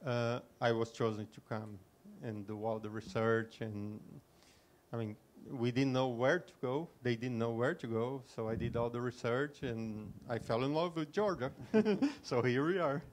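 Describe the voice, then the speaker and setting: A man's voice: mid-range voice, unhurried, slight background noise. A man from Brazil with a Brazilian Portuguese accent, speaking as a panelist in a friendly, easy manner. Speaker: panelist, company representative. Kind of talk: panel discussion.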